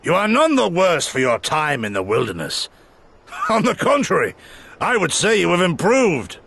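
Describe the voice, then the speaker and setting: A middle-aged man acting as a stoic, penitent warrior mentor in a video game, gravelly, grave and formal but quietly fond. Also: high-pitched, wildly swooping pitch, moderate pace, slight background noise, heavily acted. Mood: fond, wry.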